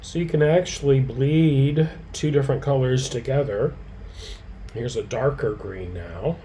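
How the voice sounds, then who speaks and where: Mellow, slightly hoarse man demonstrating crafts. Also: medium pitch, a little slow, slight background noise. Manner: relaxed, talks softly to himself while he works; answers viewers' comments by name. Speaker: quilting educator.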